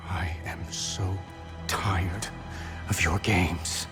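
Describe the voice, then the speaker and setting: An actor playing a noble young captive prince in a game cinematic, earnest, weary and defiant. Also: male voice, low-pitched, fairly even intonation, very slow. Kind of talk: dramatic game cinematic acting. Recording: loud cinematic music behind.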